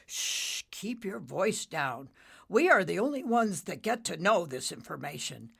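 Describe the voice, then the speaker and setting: Brief: smug booming old storm-cloud dad, woman reader. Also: sing-song, moderate pace, vocal fry, fairly bright tone, slightly rough, full character voice.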